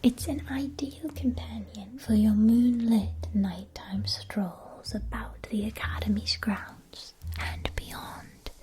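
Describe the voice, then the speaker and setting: A woman playing a gentle, attentive magic-shop keeper in a scripted ASMR roleplay, whispering softly with careful articulation. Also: a little high. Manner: soft, slow, careful articulation. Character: gentle, attentive female shopkeeper of magical school supplies.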